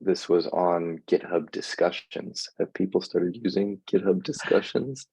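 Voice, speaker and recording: A man's voice: deep voice, measured pace, warm tone, breathy edge, no room sound, rough recording. A man talking casually and agreeably in a technical online meeting, with a laid-back manner. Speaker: software working group participant. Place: online group call.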